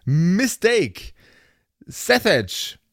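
Lively high-voiced man, animated podcast host. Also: extremely slow, vocal fry, no room sound, clean studio sound. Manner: jokey, playful asides. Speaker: game master and podcast host. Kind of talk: casual podcast narration and credits read-out.

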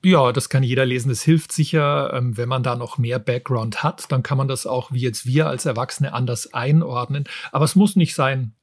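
A man's voice: medium pitch, darkish tone, husky, no room sound, clean studio sound. A middle-aged man, presenting book recommendations as a podcast host. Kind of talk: book review talk, partly scripted.

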